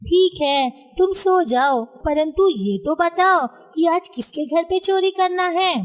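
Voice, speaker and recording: A woman's voice: very high voice, slow pace. A woman voicing a sly, greedy mother crow in a cartoon story. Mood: sneaky, pleased.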